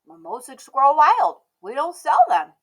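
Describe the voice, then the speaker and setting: A woman voicing a matter-of-fact male garden store clerk in a storybook, brisk and instructive. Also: sits high, wildly swooping pitch, pitched above the performer's own voice, exaggerated voice.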